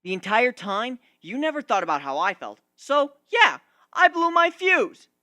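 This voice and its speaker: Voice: medium pitch, big pitch swings, a little slow, pushed and bright, dry recording, studio quality, pitched above the performer's own voice. A narrator voicing a wounded, sarcastic young male griffin who rants emphatically in a storybook reading. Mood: defensive, angry. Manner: ranting, emphatic, aggrieved.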